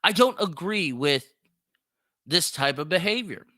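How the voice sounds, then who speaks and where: Bright, forceful man giving blunt commentary. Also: a little high, animated, measured pace, dry recording, studio quality. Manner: blunt, mocking, profane, emphatic repetition. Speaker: podcast host. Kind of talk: solo opinionated commentary.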